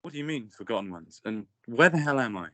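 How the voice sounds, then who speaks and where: A man's voice: mid-range voice, animated, fast, a bit bright, dry recording, lightly acted. A man playing a confused, defiant newcomer, a living paper ball, in a table read. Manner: incredulous, questioning. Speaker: actor in a script table read. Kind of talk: amateur table read over a group call.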